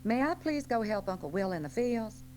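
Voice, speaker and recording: A woman's voice: on the high side, sing-song, moderate pace, darkish tone, soft background music, pitched above the performer's own voice. A woman voicing an eager, hopeful eleven-year-old Southern farm boy, polite and keen, for an audiobook. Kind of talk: audiobook character acting.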